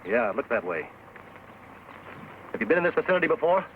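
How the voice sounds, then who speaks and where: An actor playing a cautious, authoritative Texas Ranger leader in an old-time western radio drama. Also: male voice, mid-range voice, big pitch swings, fairly quick, a little hiss or hum, natural voice. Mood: cautious, commanding. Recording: tinny old radio recording.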